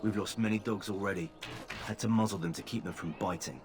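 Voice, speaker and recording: An actor playing a grim veteran soldier who gives blunt warnings. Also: male voice, lowish voice, fairly quick, noisy background, close to an everyday voice. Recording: game dialogue over camp ambience.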